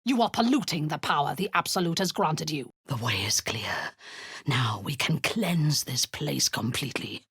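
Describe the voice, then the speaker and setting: A woman playing a cruel, haughty drow cult commander in a video game, with a breathy, husky voice and a commanding, menacing manner. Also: low voice, animated, moderate pace, a bit bright, heavily acted. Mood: zealous, haughty. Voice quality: breathy, husky, often dropping to a whisper. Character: imperious, cruel female drow cult commander, seductive and zealous.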